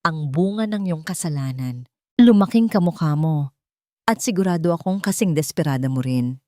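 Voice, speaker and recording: A woman voicing a rich older matriarch in a story, calm, icy, mocking and threatening. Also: sits low, normal speed, no room sound, studio quality. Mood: venomous. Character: cold, contemptuous rich older matriarch, menacing and haughty.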